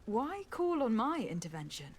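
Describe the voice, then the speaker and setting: A woman's voice: on the high side, sing-song, moderate pace, a little hiss or hum. An actress playing a young noblewoman diplomat in a video game, formal, tactful and composed. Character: poised young noblewoman diplomat, courteous and measured. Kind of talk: scripted video game dialogue.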